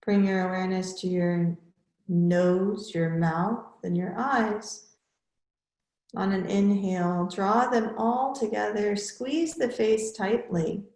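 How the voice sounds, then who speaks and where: A woman yoga teacher leading a guided relaxation in measured, instructional short phrases with pauses between them. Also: medium pitch, steady tone, darkish tone, echoey room.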